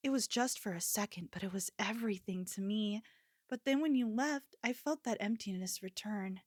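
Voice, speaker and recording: A woman's voice: medium pitch, normal speed, dry recording, clean studio sound, lightly acted. A woman playing an obsessive, possessive convenience store cashier in an ASMR roleplay, pleading and unsettlingly sweet. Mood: lovesick, wistful. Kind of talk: ASMR roleplay, scripted character acting.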